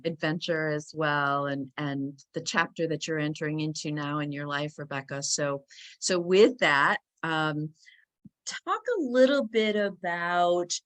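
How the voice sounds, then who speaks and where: Woman host on a talk show, kinda low voice, lots of ums, upbeat. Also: a bit bright. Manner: enthusiastic, many fillers.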